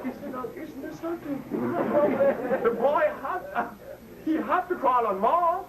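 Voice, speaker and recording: A man's voice: very high voice, expressive, a little slow, a crowd in the background. A man in his seventies giving an impassioned lecture to an audience, preaching and drawing call and response from listeners. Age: 70s. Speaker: speaker addressing an audience. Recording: distant mic, faint room noise.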